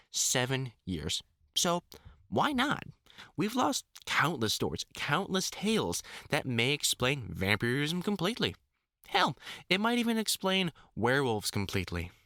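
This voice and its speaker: A man's voice: medium pitch, sharp tone, no echo, exaggerated voice. A man playing an older, experienced vampire hunter in an audio roleplay, talking informally and reassuringly like a mentor, with dry jokes and mild swearing. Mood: thoughtful, explaining. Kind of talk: intimate one-sided audio roleplay, conversational acting.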